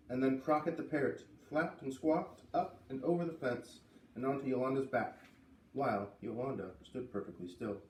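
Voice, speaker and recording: Guy doing an expressive kids book read-aloud, dramatic pauses, roomy echo, bit far from the mic. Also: mid-range voice. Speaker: storybook reader. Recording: roomy, slightly distant, faint background noise.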